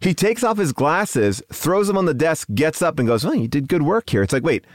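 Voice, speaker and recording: A man talking about films in a relaxed, chatty way, slipping into quick mock voices. His voice sits a little high, and the recording is dry and close. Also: dry recording. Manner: animated, mimics film characters in quick bursts. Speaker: podcast co-host. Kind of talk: casual movie discussion.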